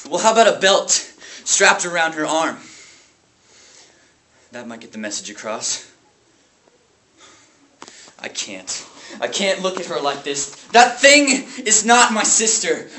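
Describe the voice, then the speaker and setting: A man performing a dramatic stage monologue as a grieving, traumatized brother, anguished and bitter, speaking in halting phrases with heavy pauses. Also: mid-range voice, bright, forceful tone, far from the mic. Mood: stunned grief. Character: grieving, traumatized brother bitter about his abusive family. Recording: echoey room with faint noise.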